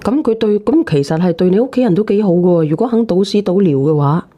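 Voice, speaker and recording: Mellow, blunt Cantonese woman host. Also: medium pitch, expressive, fast talker. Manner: blunt, prodding. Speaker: phone-in show host. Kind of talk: casual questioning of a caller.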